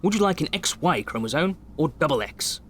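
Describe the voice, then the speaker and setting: Brisk, encouraging male game doctor, instructive and formal, lightly high pitch, ambient noise behind. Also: sing-song, a bit bright. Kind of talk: video game tutorial dialogue acting.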